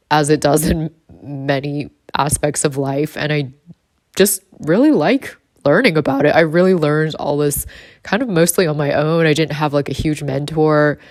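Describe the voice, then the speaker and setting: A woman in her thirties with a husky voice, chatting casually as a vlogger about her trading. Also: deep voice, no echo, studio quality. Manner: relaxed and chatty, with light laughs. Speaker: vlogger and trader. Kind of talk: casual vlog narration.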